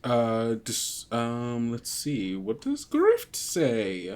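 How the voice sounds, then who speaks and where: A game master narrating an improvised tabletop game casually, haltingly thinking aloud. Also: mid-range voice, huge pitch swings, darkish tone. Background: guitar music.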